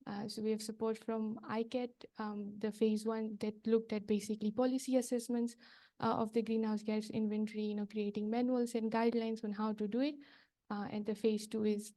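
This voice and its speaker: A woman's voice: on the high side, level tone, a little fast, mellow. A woman from Fiji, a project coordinator, giving a workshop talk without slides in a hesitant way with many fillers.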